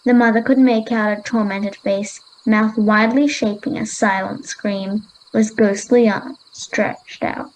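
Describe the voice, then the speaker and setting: A woman's voice: medium pitch, fairly even intonation, measured pace, few pauses, lightly acted, rough recording. An Australian girl of about ten playing a haughty, dismissive Victorian schoolgirl storyteller in an amateur radio play. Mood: spooky storytelling. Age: 10 to 11, child.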